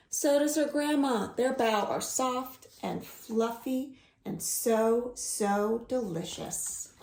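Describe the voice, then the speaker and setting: A woman librarian reading a picture book and singing for young children at home, slow and coaxing, inviting them to join in. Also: on the high side, reverberant, slight background noise. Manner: slow, singsong and coaxing, asks the listeners to join in.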